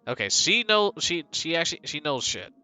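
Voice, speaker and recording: Bright-voiced male streamer reading a game. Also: a little high, very animated, normal speed, smooth. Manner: joking, rambling asides with fillers. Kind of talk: reading a game aloud with asides.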